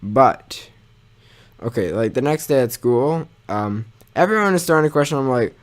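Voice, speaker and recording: A young man with a medium-pitched voice tells a personal story as if for his gaming channel. His delivery is informal and loose, with some mild swearing. He talks about himself in a self-mocking way. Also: expressive, normal speed.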